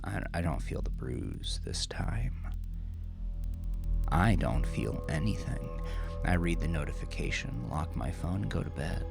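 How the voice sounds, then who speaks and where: A man narrating a horror story in the first person, with a brooding, halting, intense confessional delivery. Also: a bass voice, sing-song, vocal fry, music in the background. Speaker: narrator and author.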